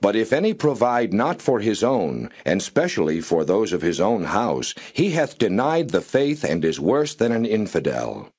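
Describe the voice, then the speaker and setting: A man narrating scripture in a measured, solemn and formal reading style. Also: fairly low pitch, big pitch swings, darkish tone, breathy edge. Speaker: Bible narrator.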